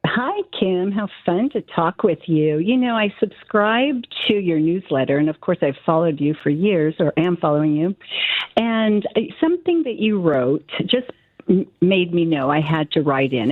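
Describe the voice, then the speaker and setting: A woman calling in to a radio show and chatting in a warm, slightly rambling way. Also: lowish voice, no room sound. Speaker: caller. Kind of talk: call-in chat. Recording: dry, tinny phone line.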